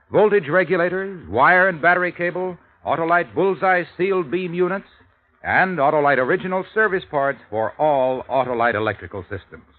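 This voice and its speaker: Casting: American male radio pitchman, animated, slightly high voice, 1950s ad style, tinny recording. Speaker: sponsor's commercial announcer.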